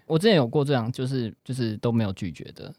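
A man's voice: medium pitch, quick pace, darkish tone, no room sound, studio quality. A man, chatting casually as a guest on a podcast. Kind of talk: casual chat.

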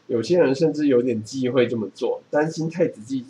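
A man's voice: medium pitch, brisk, warm tone, slight background noise. A man, reading from a book and explaining it in a casual chat. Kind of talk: reading a book aloud and chatting about it.

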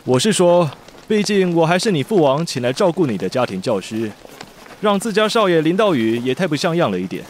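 A man's voice: fairly high pitch, big pitch swings, moderate pace, slight background noise. A man with a Taiwanese Mandarin accent voicing a composed adult private tutor who teases dryly but looks out for his charge. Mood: teasing. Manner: dry, teasing, gently caring. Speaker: voice actor. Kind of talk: amateur radio drama acting.

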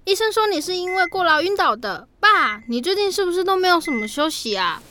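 A woman's voice: very high voice, sing-song, a little fast, noisy background. A young woman playing a protective, caring elder sister in an audio drama, coaxing and reassuring. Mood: concerned. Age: young adult. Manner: gentle coaxing, nagging reassurance.

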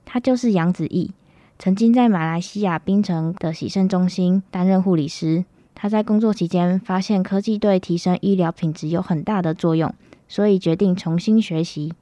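A woman's voice: lowish voice, moderate pace, warm tone, no echo. A woman campus radio reporter with a Taiwanese Mandarin accent, reading a scripted news report. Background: music.

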